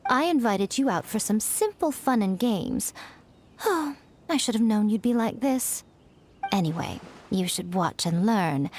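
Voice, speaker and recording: A woman's voice: medium pitch, a little hiss or hum, close to an everyday voice. A woman voicing a sly, self-assured and teasing shrine maiden in a video game, playful and smug. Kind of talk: English video game voice acting.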